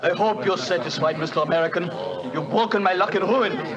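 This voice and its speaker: An actor playing a bitter gambler. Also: male voice, sits high, natural voice. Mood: resentful. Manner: pleading, resentful, halting English. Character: desperate German gambler, middle-aged man, bitter. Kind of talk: 1940s radio acting. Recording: dull old radio broadcast, casino crowd.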